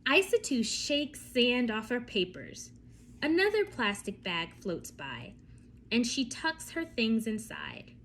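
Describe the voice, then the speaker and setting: A woman reading a bedtime story aloud for children, expressive and often turning to ask her young listeners questions. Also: a little high, slow, a little hiss or hum.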